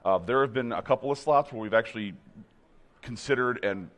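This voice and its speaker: A hoarse man leading a game demo team, talking casually with many restarts. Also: brisk, a bit bright. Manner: rambling, many restarts, upbeat pitch. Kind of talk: casual chat.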